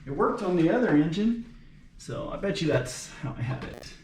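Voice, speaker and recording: A man's voice: on the high side, sing-song, moderate pace, darkish tone. A man thinking aloud in short, muttered fragments while working on a car, unscripted and casual. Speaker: car mechanic making a repair video. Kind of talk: unscripted talking to himself while working on an engine. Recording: roomy echo, light background noise.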